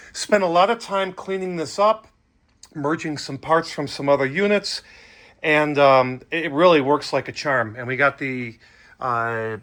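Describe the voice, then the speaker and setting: A man's voice: mid-range voice, bright, forceful tone. A middle-aged man giving a casual, conversational demonstration as a hobbyist host, with an enthusiastic manner. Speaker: hobbyist host demonstrating vintage audio gear. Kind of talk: casual product demo talk.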